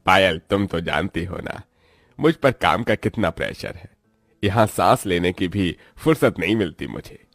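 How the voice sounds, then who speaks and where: Cartoon boss-groom, male actor: young, wealthy, kind, earnest, low deep voice, dry recording over music. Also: animated, normal speed, fairly bright tone, no echo, full character voice. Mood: busy, mild. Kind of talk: Hindi moral-story cartoon acting.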